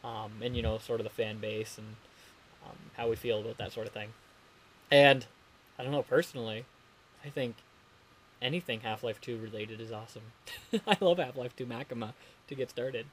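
A man's voice: mid-range voice, normal speed, long pauses, a little hiss or hum, poor audio. A man hosting a casual podcast chat, talking in a rambling way with lots of fillers. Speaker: podcast host.

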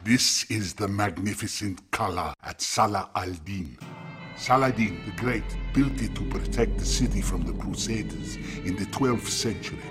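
An actor playing a sinister tour guide in a radio drama, formal and courteous. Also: male voice, on the low side, moderate pace, a bit bright, natural voice. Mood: courtly. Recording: music and crowd behind the voice.

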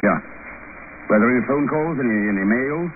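An actor playing a cool, wry secret agent in a 1950s radio drama, questioning in a dry, unflappable way. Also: male voice, mid-range voice, lively intonation, moderate pace, lightly acted. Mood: brisk, wry. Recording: noisy, tinny old radio broadcast.

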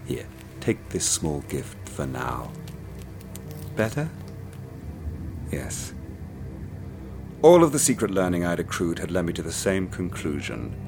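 An actor playing a wealthy, obsessive man chasing a forbidden puzzle, narrating in a calm, menacing way and giving orders impatiently. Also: deep voice, takes it slowly, vocal fry, pauses often, over music, full character voice. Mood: brooding. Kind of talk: radio play narration and dialogue.

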